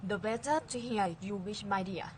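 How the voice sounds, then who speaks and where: A woman's voice: medium pitch, normal speed, close to an everyday voice. Female performer for hungry wolf posing as granny: mock-friendly, sly, amateur storybook acting, slight background noise.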